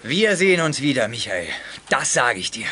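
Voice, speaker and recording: An actor playing a gruff, scheming young gang leader in a 1980s youth radio play, curt and commanding. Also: male voice, mid-range voice, very animated, normal speed, natural voice. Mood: threatening. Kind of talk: 1980s German youth audio drama acting. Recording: noisy old audio drama recording.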